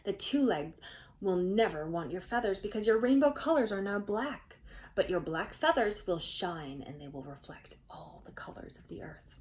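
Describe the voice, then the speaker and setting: A woman voicing a solemn, kindly male sky spirit in a storybook, with measured pronouncements and some background noise. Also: medium pitch, expressive, darkish tone, natural voice. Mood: solemn, consoling.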